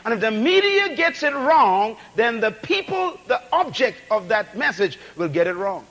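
Man preaching in a really high voice, pitch jumping all over, repeats himself and asks the room to talk back. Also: big pitch swings, moderate pace. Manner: call-and-response, rhetorical questions, emphatic repetition; swings between shouted emphasis and low asides. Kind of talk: sermon.

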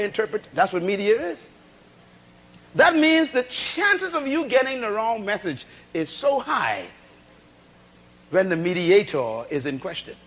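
A man preaching a sermon, calling on the congregation for responses and driving points home through repetition and rhetorical questions, often dropping to low asides between loud bursts. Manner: swings between shouted emphasis and low asides.

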